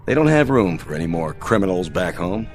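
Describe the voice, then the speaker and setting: An actor playing a seasoned spaceship captain, firm but compassionate, in an audio drama. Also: male voice, low-pitched, big pitch swings. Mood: reflective. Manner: terse commands, measured explanations. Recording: music bed under the voice.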